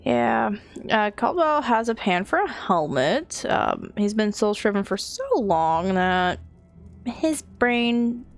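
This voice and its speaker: A woman's voice: mid-range voice, very animated, slow, vocal fry. A woman chatting casually on a live game stream in a laid-back, wry manner. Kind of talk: casual live stream chat while playing.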